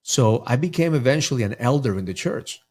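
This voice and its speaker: A man's voice: mid-range voice, expressive, a little fast, darkish tone. A middle-aged man from Ecuador, a mindset coach talking earnestly as a podcast guest, often repeating words as he restarts. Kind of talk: podcast interview.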